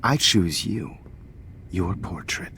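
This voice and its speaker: An actor playing a smug, menacing male teacher and killer in a video game, condescending and deliberate in manner. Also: low-pitched, animated, bright, forceful tone, slight background noise, full character voice. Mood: smug menace. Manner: smooth, condescending, taunting, deliberate. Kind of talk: naturalistic video game voice acting.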